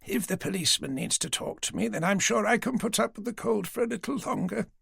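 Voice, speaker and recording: A woman's voice: medium pitch, animated, fast talker, creaky, a bit bright, husky, no room sound, clean studio sound, voice pushed up, exaggerated voice. A man reading an audiobook, voicing a frail, forgetful elderly woman who was once a nurse, in a genteel, rambling manner. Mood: chatty. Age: older. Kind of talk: audiobook character voice.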